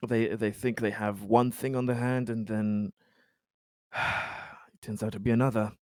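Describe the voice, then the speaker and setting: A man playing a tabletop roleplaying game, halting and stammering as he moves between narration and improvised in-character lines. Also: fairly low pitch, level tone, dry recording, clean studio sound. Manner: halting, stammering, often sighing.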